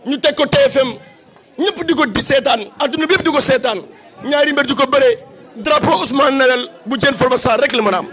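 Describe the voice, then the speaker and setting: Senegalese man, extremely high pitch, sharp forceful tone, emphatic political praise oratory, repetitive phrasing, light crowd noise outdoors. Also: a little fast. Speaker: political activist giving a tribute. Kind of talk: impassioned public praise speech.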